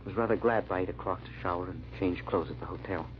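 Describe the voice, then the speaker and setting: An actor playing a haunted, earnest gentleman sleuth, with dramatic, hesitant delivery in old-time radio drama style. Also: sits low, quick pace, lightly acted. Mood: haunted, brooding. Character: haunted, earnest gentleman amateur sleuth narrating his search. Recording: muffled old radio recording with hiss.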